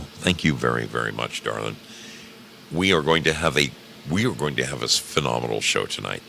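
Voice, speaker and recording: A man hosting a radio show, talking casually to listeners in a deep, gravelly voice with theatrical, drawn-out emphasis. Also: sharp tone, slight background noise.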